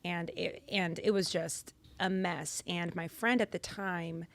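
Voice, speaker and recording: A young woman from Massachusetts, a podcast guest telling her story in casual chat, animated and louder for emphasis, on a studio boom mic. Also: a little slow. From Massachusetts, United States. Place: podcast studio with purple lighting.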